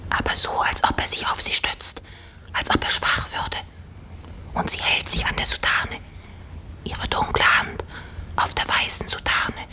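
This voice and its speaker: A woman acting as a rapt onlooker in a German radio play, murmuring an unhurried commentary in a breathy whisper. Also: sharp tone. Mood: hushed, fascinated. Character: hushed, rapt onlooker at a church blessing.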